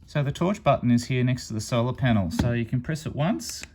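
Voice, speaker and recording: A man's voice: medium pitch, lively intonation, fairly quick, background noise. A man casually demonstrating a gadget hands-on, explaining it step by step in a matter-of-fact way. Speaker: amateur radio reviewer and channel host.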